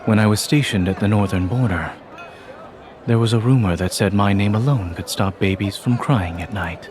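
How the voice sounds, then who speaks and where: An actor playing a calm, formal young general in a romance game, speaking in a measured, dryly teasing way. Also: male voice, on the low side, crowd noise, natural voice. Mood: calm, gentle. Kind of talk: restrained romance game voice acting. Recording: screen-recorded game audio with faint noise.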